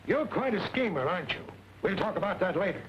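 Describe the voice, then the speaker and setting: A man's voice: sits high, lively intonation, quick pace. An actor playing a stern, blustery middle-aged colonel in an old Western, barking his words indignantly. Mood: gruff. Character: blustery, stern Yankee Army colonel and ranch owner. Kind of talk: old Western film acting. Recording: muffled, hissy old film soundtrack.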